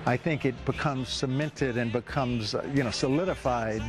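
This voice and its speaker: A man with a medium-pitched voice reminisces in an interview. He is reflective and a little halting, with music clearly audible underneath.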